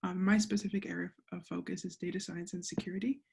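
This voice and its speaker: A woman's voice: fairly low pitch, flat delivery, fast, vocal fry, a little mellow, husky. A young woman from Central Florida, a master's student, introducing herself hesitantly with many fillers on a video call. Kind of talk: casual self-introduction.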